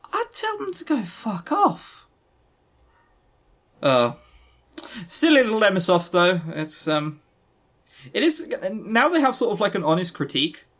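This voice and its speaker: British man, high-pitched animated podcast co-host. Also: takes it slowly, pauses often. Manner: sarcastic, teasing. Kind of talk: casual chat about games.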